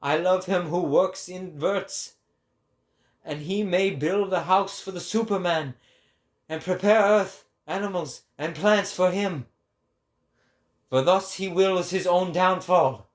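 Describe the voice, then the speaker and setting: A man performs an impassioned prophet in a dramatic reading. His voice sits fairly high for a man and moves very slowly. He declaims with grandiose, deliberate weight, as if preaching to a crowd. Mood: reverent, fervent.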